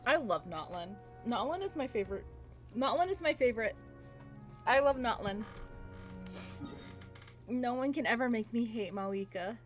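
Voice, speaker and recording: A woman's voice: a little high, moderate pace, long pauses, faint music behind. A woman livestreaming a game, chatting casually and expressively with viewers. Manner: informal, expressive. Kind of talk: casual chat to stream viewers.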